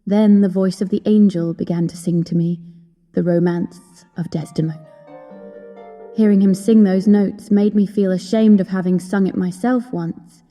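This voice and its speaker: A young opera singer played by a woman tells her gothic tale with earnest, measured wistfulness. Her tone is very dark and mellow at a medium pitch. Long reverb surrounds the voice, and music plays behind it. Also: steady tone, close to an everyday voice. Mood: entranced, wistful. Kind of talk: dramatic first-person storytelling in an audio drama.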